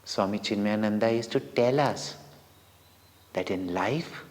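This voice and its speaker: Slow-speaking Indian man in a reverberant hall. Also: on the low side, a little mellow. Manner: deliberate, dramatic, with short pauses between phrases. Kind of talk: devotional storytelling for children. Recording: long reverberant hall echo.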